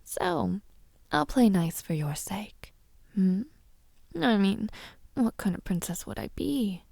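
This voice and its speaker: Woman acting a slow, breathy villainess princess. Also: medium pitch, animated, no echo, studio quality, heavily acted. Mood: condescending, playful. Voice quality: breathy, often near a whisper. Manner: slow, teasing, condescending, with soft taunts. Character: haughty young villainess princess, mockingly sweet and menacing. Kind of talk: audio roleplay addressed to the listener.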